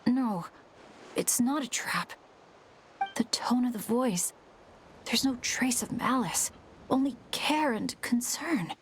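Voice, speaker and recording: Earnest, boyish teen adventurer. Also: female voice, a little high, expressive, a little hiss or hum. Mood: earnest, resolute. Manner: earnest, halting. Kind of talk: video game character acting.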